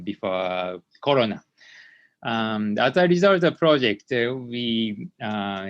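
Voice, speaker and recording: A man from Japan with a Japanese accent presents slides as a company founder in an online meeting, speaking haltingly with many hesitant fillers. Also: fairly low pitch, gravelly, a bit bright, no echo. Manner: halting, hesitant delivery with frequent long fillers. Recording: dull, lo-fi online meeting audio.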